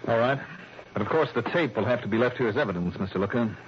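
An actor playing a cool, skeptical investigator in an old-time radio drama, speaking in a measured, dry, questioning way. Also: male voice, mid-range voice, muffled recording, noisy background, lightly acted. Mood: measured, firm. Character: cool, skeptical male investigator who probes politely. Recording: thin, band-limited vintage radio recording.

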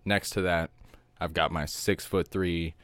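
A young adult man co-hosting a podcast, soft-spoken and calm, talking in a measured, reflective way. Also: low voice, no room sound. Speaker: podcast co-host. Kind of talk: casual chat.

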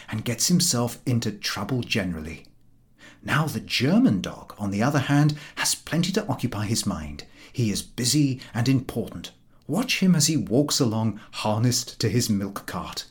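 Male audiobook reader, mid-pitched, highly expressive intonation, theatrical delivery of humorous writing, pauses for emphasis. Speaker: audiobook narrator. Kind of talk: expressive scripted narration of comic prose.

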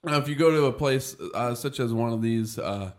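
A man co-hosting a casual comedy podcast, rambling with many pauses and filler words. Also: mid-range voice. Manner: rambling, halting, lots of fillers and trailing off. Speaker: podcast co-host.

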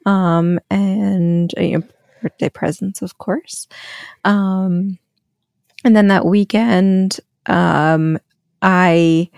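A woman's voice: fairly low pitch, steady tone, very slow, creaky, darkish tone, dry recording, clean studio sound. Rambling woman telling a podcast story. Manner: rambling storyteller, many ums and laughs. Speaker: podcast co-host. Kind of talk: casual chat.

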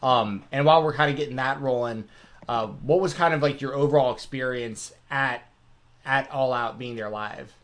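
A man hosting a live stream in casual chat, relaxed and rambling, with many fillers. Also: medium pitch, moderate pace. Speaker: podcast host.

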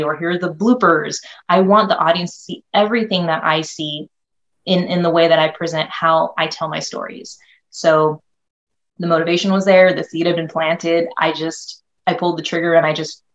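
A woman's voice: deep voice, a bit bright, muffled recording. A woman from Northern California, a filmmaker being interviewed, telling her story with enthusiasm and frequent restarts. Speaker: guest, filmmaker.

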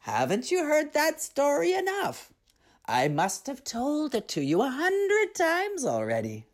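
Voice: high-pitched, huge pitch swings, measured pace, voice pushed up, heavily acted. A performer with a feminine-sounding voice playing an indulgent old grand mermaid auntie in a storybook tale, mock-scolding. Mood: mock weary.

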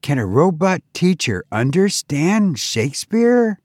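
A man's voice: fairly high pitch, very animated, slow, a little mellow, dry recording, studio quality, voice pushed up, exaggerated voice. A man voicing a curious young child earnestly asking questions.

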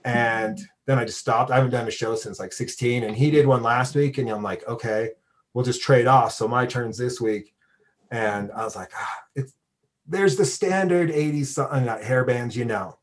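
A man's voice: medium pitch, moderate pace. A man with an American accent hosting a casual chat interview, talking informally and often interrupting himself as he goes. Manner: informal, rambling, self-interrupting. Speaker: podcast host and radio DJ.

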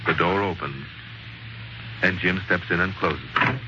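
A man narrating a 1940s radio drama in a theatrical, measured storytelling manner, on a muffled vintage broadcast recording. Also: low-pitched, heavy background noise. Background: prominent music.

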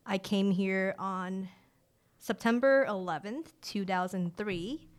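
A woman in her late twenties, raised in rural China with a village tongue as her first language, talks as an interview guest in a relaxed, chatty way full of "like" fillers. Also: mid-range voice, slow. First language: a Chinese village language. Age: late 20s. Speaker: guest, director of family empowerment. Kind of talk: casual interview conversation.

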